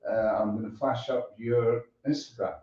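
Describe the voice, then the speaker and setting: A man's voice: medium pitch, fairly even intonation, normal speed, warm tone. A man hosting a casual interview, informal and hesitant in his phrasing. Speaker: interviewer and show host. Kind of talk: casual chat. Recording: very echoey, distant, dull sound.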